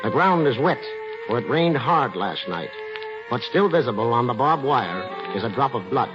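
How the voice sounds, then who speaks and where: An actor playing a solemn narrator of a murder mystery, grave and deliberate, in scripted 1940s radio style. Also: male voice, mid-range voice, lively intonation, natural voice. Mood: grave, suspenseful. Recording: muffled 1940s radio recording with music.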